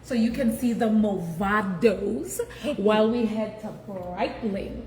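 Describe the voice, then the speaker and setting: A woman's voice: mid-range voice, slow, darkish tone, a little hiss or hum. A woman hosting a TV segment on location, chatting in a playful way. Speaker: TV show host. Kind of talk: casual on-location interview. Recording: echoey room.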